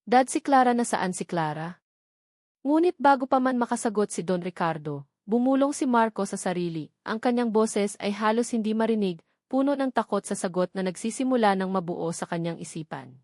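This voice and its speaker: A woman reading a dramatic love story as narrator, in a solemn, sentimental and deliberate way. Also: medium pitch, lively intonation, a little mellow, dry recording, studio quality.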